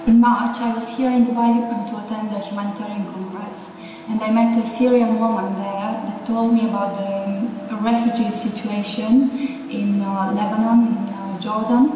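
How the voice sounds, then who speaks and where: A woman from Romania giving an earnest prepared speech as a contest finalist, pausing often on fillers. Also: medium pitch, little pitch movement, warm tone, slight background noise. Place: large event hall. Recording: echoey hall from far off.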